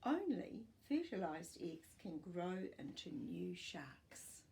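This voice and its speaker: An older woman reads a non-fiction book to children, pausing to explain things in clear phrases. Her delivery is slow and animated, with pitch that rises and falls freely. There is some creak in her voice. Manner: expressive, clearly phrased, explaining to young listeners. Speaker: storytime host reading aloud. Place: small furnished living room, indoors.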